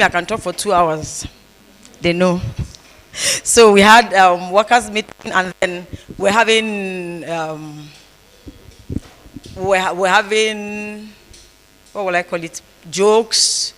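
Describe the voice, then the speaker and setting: A woman pastor giving a conference talk, telling stories with humor and repeating phrases for emphasis. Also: mid-range voice. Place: conference hall. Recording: long reverb of a large hall.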